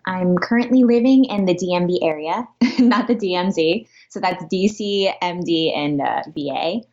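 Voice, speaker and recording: A woman's voice: lowish voice, moderate pace, a little mellow. A young woman from Pittsburgh answering interview questions in a friendly way, laughing lightly as she talks. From Pittsburgh, Pennsylvania. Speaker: guest, pianist. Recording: dull lo-fi remote line.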